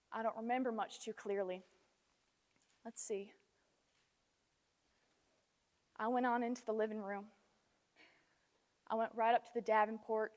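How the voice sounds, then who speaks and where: Troubled, hesitant Southern wife, played by a teenage female, high-pitched, stage storytelling swelling with emotion, big echoey hall. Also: natural voice. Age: teens. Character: distraught, hesitant Southern wife recounting a violent confrontation. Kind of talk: dramatic stage monologue. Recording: echoey hall with long reverb.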